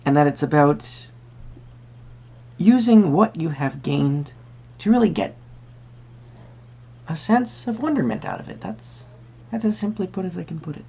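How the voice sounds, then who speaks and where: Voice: medium pitch, big pitch swings, unhurried, gravelly, pauses often, mellow, slightly rough. A tarot reader speaking to the listener in a roleplay, musing hesitantly in wordy, formal phrases. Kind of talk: soft-spoken roleplay tarot reading addressed to the viewer.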